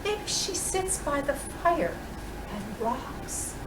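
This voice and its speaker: Lady reading a kids book out loud, really swoopy expressive voice w dramatic pauses, noisy background. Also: high-pitched. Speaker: storytime reader playing Mrs. Claus. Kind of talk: reading a children's picture book aloud. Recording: roomy, distant microphone with clear background noise.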